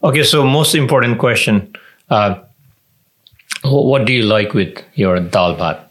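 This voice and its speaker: A man co-hosting a podcast, asking questions in a relaxed, casual way. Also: medium pitch, unhurried. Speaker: podcast co-host.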